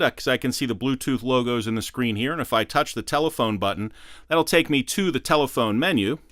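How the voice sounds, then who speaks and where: A man's voice: mid-range voice, a bit bright, no echo, studio quality. A man narrating a how-to product demonstration, explaining methodically step by step.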